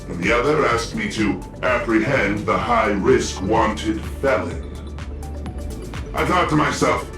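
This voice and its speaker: A man's voice: mid-range voice, roomy sound, far from the mic. A man voicing a flamboyant, self-mocking corporate security officer in a video game, with a swaggering, glib, theatrical delivery.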